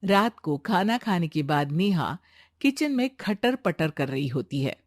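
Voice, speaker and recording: Lively woman narrator, dry recording. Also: on the low side, measured pace, no room sound. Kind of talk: scripted storybook narration.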